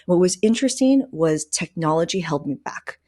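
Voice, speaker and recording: Low-pitched woman, casual podcast host. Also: lively intonation, moderate pace, mellow, no echo, clean studio sound. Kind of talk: casual conversational talk.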